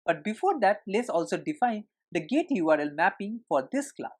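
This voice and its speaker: A woman's voice: medium pitch, very animated, moderate pace, darkish tone. A woman narrating a screencast tutorial as an instructor, explaining things step by step in an instructional way.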